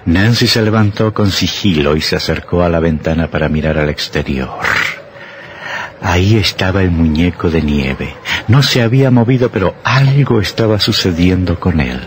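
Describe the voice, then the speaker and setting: An actor as a grave, ominous male storyteller, narrating a radio horror tale in a measured, suspenseful, dramatic way. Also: low-pitched, background noise, lightly acted.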